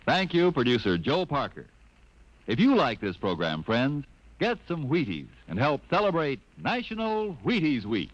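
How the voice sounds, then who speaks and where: A man announcing in an upbeat, smooth style for a 1950s radio commercial. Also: medium pitch, huge pitch swings, moderate pace, dry recording. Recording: dull, dry old radio transfer.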